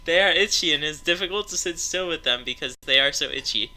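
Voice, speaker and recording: A man's voice: fairly high pitch, quick pace, noisy background. A man narrating a comedic story aloud to friends, prone to breaking into laughter as he reads.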